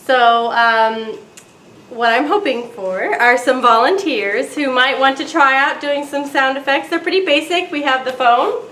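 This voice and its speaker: A woman's voice: high-pitched, measured pace, sharp tone. A woman informally organizing an event, addressing a group at a meeting in a friendly manner. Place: meeting room, away from the mic. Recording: distant, echoey, slightly noisy meeting room.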